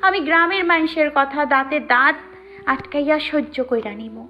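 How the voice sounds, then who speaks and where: A woman's voice: high-pitched, expressive, normal speed. A woman playing a young, outspoken village bride with a rural Bangladeshi accent in an audio drama, plaintive and reproachful. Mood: anguished, reproachful. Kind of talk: Bengali audio story acting. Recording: dull lo-fi audio with music.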